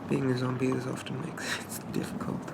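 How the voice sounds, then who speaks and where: A man playing a shy, polite, lovestruck Victorian zombie gentleman, speaking softly and haltingly with flowery apologies in an ASMR roleplay. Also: medium pitch, completely flat delivery, vocal fry, heavy background noise. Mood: hesitant, polite. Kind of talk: ASMR roleplay, soft-spoken character monologue.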